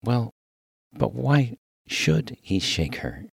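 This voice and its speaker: A man's voice: on the low side, sing-song, normal speed, breathy edge, no room sound, studio quality, full character voice. A man as a mild, bookish, formal clergyman. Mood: earnest, puzzled. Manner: formal, wordy, precise. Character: refined, bookish, mild clergyman, earnest and baffled. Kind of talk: dramatic reading of a humorous story.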